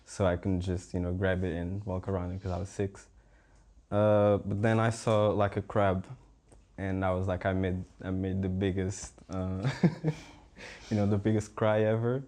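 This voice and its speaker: A young man from Portugal, a visual artist speaking as a panel guest in a relaxed, rambling way with many fillers. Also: sits low, unhurried. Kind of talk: casual conversational talk.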